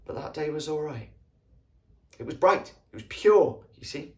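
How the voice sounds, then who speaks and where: A man playing a wistful British soldier of the First World War, reminiscing tenderly in an earthy, halting stage monologue. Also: fairly high pitch, animated, close to an everyday voice. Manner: colloquial, earthy, halting storytelling. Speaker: actor performing an audition monologue.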